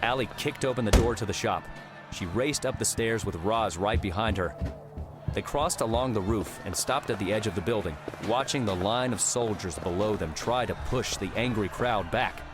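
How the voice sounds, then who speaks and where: A man's voice: fairly low pitch, normal speed. A man reading scripted adventure story narration as an audiobook narrator. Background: background music.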